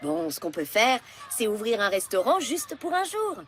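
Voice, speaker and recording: Brief: warm-hearted schoolteacher, lilting and lively, a touch high, soft music beneath. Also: female voice, normal speed, a little hiss or hum. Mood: coaxing. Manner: gentle, coaxing. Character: kindly, encouraging schoolteacher woman. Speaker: teacher. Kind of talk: cartoon dub.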